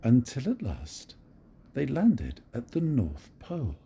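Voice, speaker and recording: An older man reads a children's story slowly and gently, his pitch swooping widely as he acts it out. His voice is dark, mellow and breathy. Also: wildly swooping pitch. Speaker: grandfather reading to grandchildren. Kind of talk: reading a picture book aloud.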